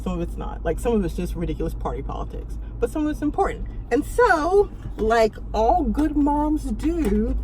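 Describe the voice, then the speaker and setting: A woman's voice: high-pitched, darkish tone, a little hiss or hum, poor audio. An American woman explaining things casually and comically as a show host, playfully putting on mock voices for the people she quotes.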